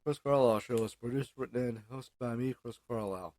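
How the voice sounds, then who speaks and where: An American man hosting an informal solo podcast, speaking in a halting way with frequent hesitations and self-corrections. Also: mid-range voice, a little mellow, dry recording. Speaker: podcast host. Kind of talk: informal solo podcast monologue with news and sports recap.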